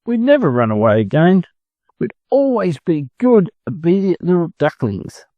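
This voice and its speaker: Male reader as naive little storybook duckling, Australian, plaintive, eager, pitched up with swooping melody. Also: big pitch swings, normal speed, no echo, phone-quality sound. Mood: tearful, lost.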